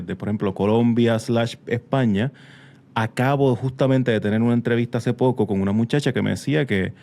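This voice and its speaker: A man hosts a conversational podcast. His voice is soft-edged and relaxed in tone, while his storytelling is animated, with bits of English slipped in. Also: mid-range voice. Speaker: podcast host. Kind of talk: casual conversational interview.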